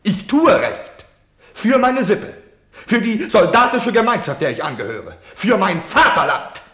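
An actor playing a defiant, self-justifying German man in a radio play, declaiming theatrically between hushed confiding and shouting. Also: high voice, sing-song, unhurried, echoey room, exaggerated voice. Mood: fanatical, rising.

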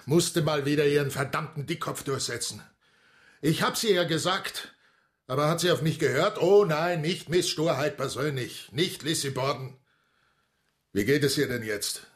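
An actor playing a gruff, blustering but grudgingly kind policeman in a radio drama, coarse and sarcastic in manner. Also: a little high, normal speed, lightly acted. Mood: grumbling, exasperated.

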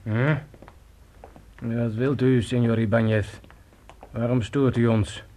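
A man's voice: medium pitch, steady tone, slow pace, warm tone, lightly acted. A man acting in a radio drama as a domineering, taunting storyteller who speaks insistently and coaxingly. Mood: taunting, commanding. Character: domineering, taunting male storyteller, Señor Pardo.

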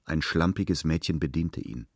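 A man's voice: rumbling low, moderate pace, slightly rough, no echo, studio quality. A man reading literary fiction aloud as a scripted narration.

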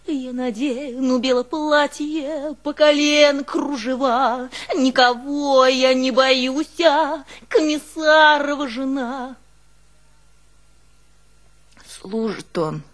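High-voiced, feisty Russian village wife. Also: female voice. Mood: defiant, singsong. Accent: rural northern Russian. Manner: colloquial, emotional, swinging from scolding to hushed confiding. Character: feisty, aggrieved Russian village peasant wife, earthy and proud. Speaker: stage actress in a one-woman audio play. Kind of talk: theatrical monologue with snatches of village folk ditties.

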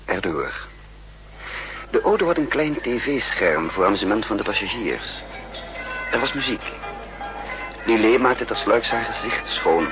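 A man's voice: lowish voice, very animated, measured pace, pauses often, rough recording. An actor playing a resourceful, self-assured spy who narrates his story wryly in a 1960s radio play. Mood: tense recounting. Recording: old radio recording with music behind.

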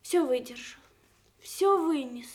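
High-voiced, anguished older wife. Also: female voice, expressive, darkish tone. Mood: grieving. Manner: emotional, theatrical, halting. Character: anguished, devoted older wife of a dying veteran. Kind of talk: Soviet radio drama acting.

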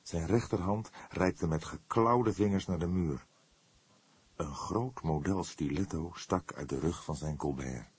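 A man's voice: sits low, a little mellow, slightly rough. A man narrating a crime novel as an audiobook, reading scripted prose with dramatic storytelling.

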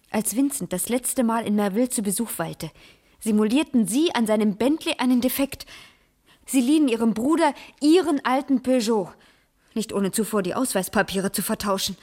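An actress as a sharp woman detective in a radio crime play, her voice set fairly high. She speaks deliberately and accusingly, with cool self-assurance, in 1980s East German radio style. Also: lightly acted. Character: sharp, self-assured young French female private detective.

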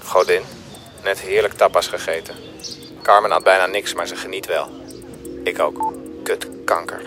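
A man's voice: low-pitched, level tone, normal speed, lightly acted. A man playing a blunt, bitter husband of a dying wife, narrating in clipped, crude sentences in a confessional radio drama. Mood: clipped, restless. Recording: music behind the voice.

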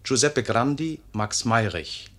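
A man's voice: medium pitch, animated, slow pace, a bit bright. A man reading a formal radio announcement in 1950s broadcast style.

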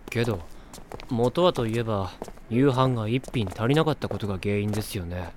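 A man voicing a cool, dry-witted teenage boy in an anime audio drama, deadpan and politely sarcastic. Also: fairly low pitch, moderate pace, noisy background, natural voice. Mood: needling.

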